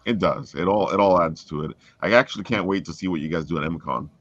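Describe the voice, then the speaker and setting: A man with a deep voice chatting casually as a panelist. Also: quick pace, fairly bright tone, no echo, dull audio. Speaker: voice-over performer on a discussion panel. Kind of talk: casual chat.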